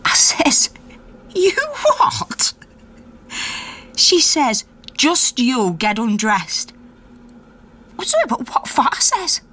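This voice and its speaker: A woman's voice: a little high, sing-song, slow, pauses often, sharp tone, in a whisper, heavily acted. An actress playing a middle-aged Yorkshire miner's wife, an indignant activist telling her story conversationally and acting out the dialogue in a naturalistic radio drama. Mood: aggrieved.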